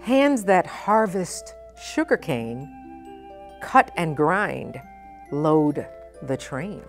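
Low-pitched, expressive middle-aged woman. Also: soft background music. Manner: expressive, deliberate phrasing for children. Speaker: guest storytime reader, nonprofit president. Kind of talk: children's picture book read-aloud. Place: indoor storytime set at a library.

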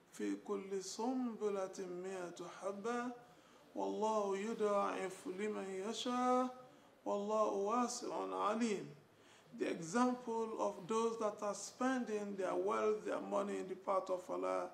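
A man's voice: high voice, vocal fry. A middle-aged man giving a religious exhortation with recitation in a small room. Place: small clinic room, indoors. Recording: roomy echo, faint background noise.

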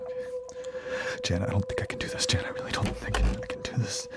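A man's voice: extremely high pitch, wildly swooping pitch, fairly quick, whispered, loud music behind the voice, full character voice. A man playing a nervous, lovesick lab assistant in an audio drama, hesitant and fervent, with a tendency to stammer. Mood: panicked whisper.

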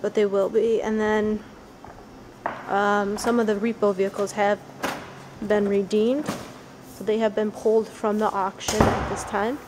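A woman's voice: mid-range voice, level tone, measured pace. A woman from Western New York, giving an informal walking tour of an auction warehouse on a handheld camera and correcting herself as she goes. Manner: plain, informal, self-correcting as she walks. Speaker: auction house staff giving a walkthrough. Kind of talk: casual walkthrough narration. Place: large indoor vehicle warehouse. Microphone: handheld camera mic while walking. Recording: noisy warehouse camera audio.

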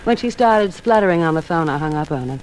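Cool, blasé femme fatale. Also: female voice, low-pitched, expressive, fast talker, darkish tone. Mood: bored, scheming. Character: cool, bored, scheming femme fatale swindler. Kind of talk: 1950s radio drama acting. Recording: noisy old 1950s radio broadcast.

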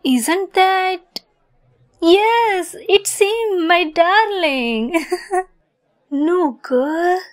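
A woman's voice: extremely high pitch, drawn-out words, pauses often, exaggerated voice. A woman playing a lovesick, possessive young kitsune fox girl in an ASMR roleplay, sweet, coy and giggly in manner. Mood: lovesick, dreamy.

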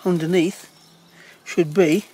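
Unhurried man foraging outdoors, slightly high voice. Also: steady tone, mellow, slightly rough, poor audio. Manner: plain, unhurried, pointing things out as he digs. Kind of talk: casual walk-and-talk foraging demo. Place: outdoors in woodland.

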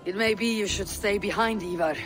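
An actor playing a steady, level-headed Viking warrior who speaks firmly. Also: male voice, sits high, brisk, music in the background, close to an everyday voice. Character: steady calm male Viking warrior, young adult.

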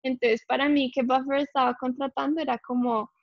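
A woman in her twenties, born in Colombia and raised in Canada, telling her story in a chatty, animated way with many fillers on a video call. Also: sits high, a little fast, gravelly, no echo. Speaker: guest, software developer. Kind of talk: casual interview chat. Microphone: laptop webcam on video call. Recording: dry, dull video call audio.

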